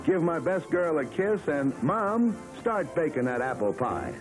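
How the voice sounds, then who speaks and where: A man's voice: on the high side, big pitch swings, unhurried. An American-accented man giving chummy, slangy, wisecracking commentary on a 1940s newsreel. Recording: 1940s newsreel soundtrack with loud music.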